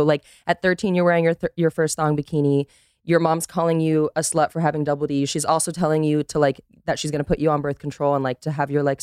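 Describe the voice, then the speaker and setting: Emphatic, clear-voiced woman in candid chat. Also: deep voice, a little mellow, no echo, clean studio sound. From Costa Rica. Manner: emphatic, frequent swearing. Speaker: podcast guest. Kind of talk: candid casual conversation.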